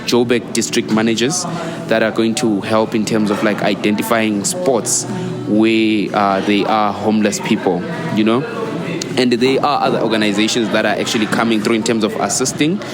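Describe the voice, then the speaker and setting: A man's voice: on the low side, fairly even intonation, music in the background. A South African man talking as a guest in a casual interview, wandering through his points with frequent "you know" and restarts. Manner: rambling, fills pauses with "you know" and restarts. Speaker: guest, student volunteer.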